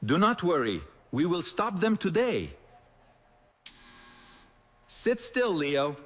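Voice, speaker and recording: A man playing a patient cartoon dentist with a fairly high, lively voice. He speaks calm, simple broken English and sounds gentle and reassuring. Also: takes it slowly, big-room sound, full character voice.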